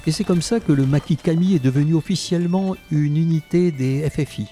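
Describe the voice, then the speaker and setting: Casting: man as reflective wartime memoirist, mellow mid-range voice, literary narration over faint music. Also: fairly high pitch, fairly quick, close to an everyday voice. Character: reflective former resistance fighter recounting wartime memories. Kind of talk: scripted narration in an audio drama.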